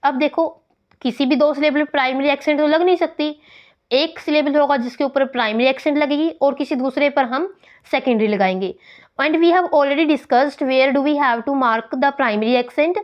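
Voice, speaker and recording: Indian woman teacher, high voice, slow deliberate English reading, slightly dull audio. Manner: reads English text slowly, explains in quick Hindi; switches between English and Hindi. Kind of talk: exam-prep grammar lesson.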